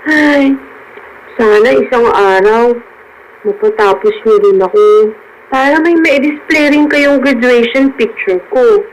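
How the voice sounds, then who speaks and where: A woman's voice: on the high side, slow, slight background noise, low-quality recording. A woman playing a dutiful, wistful young daughter in a radio drama, speaking earnestly and politely. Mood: admiring, hopeful.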